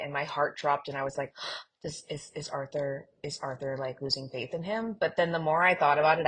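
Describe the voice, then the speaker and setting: A woman co-hosting a fan podcast, talking casually in an expressive, theatrical manner. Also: sits low, husky. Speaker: podcast co-host.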